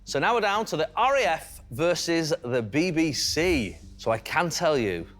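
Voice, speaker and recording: A man's voice: on the high side, very animated, normal speed, music in the background. A man with a Manchester accent hosting a TV quiz show, chirpy and encouraging, building suspense over each answer. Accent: Manchester English. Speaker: game show host. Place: TV studio.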